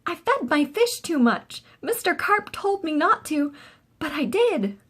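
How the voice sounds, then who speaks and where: A woman voicing an anxious, excitable young child in a storybook, pleading and exclaiming. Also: very high voice, unhurried, pitched above the performer's own voice, heavily acted. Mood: panicked. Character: small child, anxious and excitable fish owner.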